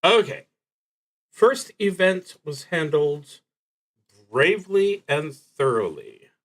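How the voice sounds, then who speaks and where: A man livestreaming a solo roleplaying game, thinking aloud in an unscripted, deliberative way with frequent pauses and restarts. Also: on the high side, big pitch swings, a bit bright. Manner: halting, deliberative, often trailing off and restarting.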